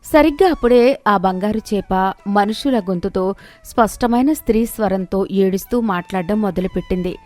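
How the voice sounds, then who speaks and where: A woman's voice: medium pitch, normal speed, dark tone, faint music behind. A woman narrating a scripted moral story in a dramatic storytelling style.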